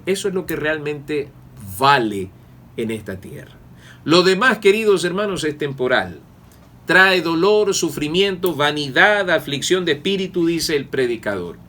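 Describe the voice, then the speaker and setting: Preacher, male, higher register, earnest, leans on words for weight. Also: a bit bright. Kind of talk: Bible study sermon.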